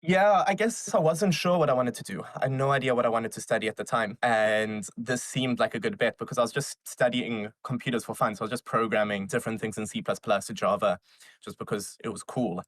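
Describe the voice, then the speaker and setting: A man in his twenties from South Africa, telling his story as an interview guest in a casual, energetic way. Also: mid-range voice, fairly quick, dry recording. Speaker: guest, startup founder.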